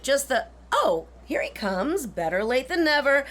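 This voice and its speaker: An actress playing a smart, brisk, no-nonsense sister in a radio drama set in the 1930s. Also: female voice, high voice, big pitch swings, a bit bright, full character voice. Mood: brisk, determined. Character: smart, no-nonsense go-getter schoolteacher big sister.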